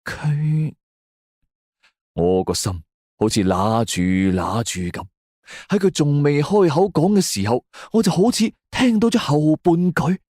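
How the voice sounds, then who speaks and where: A man's voice: fairly high pitch, animated, a little slow, darkish tone, no echo, studio quality. A man narrates a scripted crime and ghost story in a suspenseful, theatrical way. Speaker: audio drama narrator. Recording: music bed under the voice. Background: background music.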